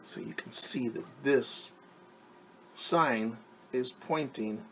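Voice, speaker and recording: A man reading a picture book aloud to young children as their teacher, patiently asking them questions and pausing for answers. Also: mid-range voice, a bit bright, slight background noise, rough recording. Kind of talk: children's storytime read-aloud.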